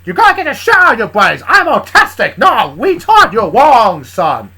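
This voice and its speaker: A woman's voice: a little high, huge pitch swings, moderate pace, bright, forceful tone, breathy edge, pitched above the performer's own voice, exaggerated voice. A woman voicing an indignant, blustering male cartoon rooster with a put-on American Southern accent. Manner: blustering, emphatic, repetitive.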